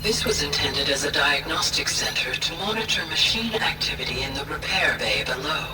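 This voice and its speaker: An actor voicing a calm, precise artificial intelligence in a game, formal and explanatory, echoey and distant over music. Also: mid-range voice, normal speed, natural voice. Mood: calm, informative. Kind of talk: video game cutscene dialogue.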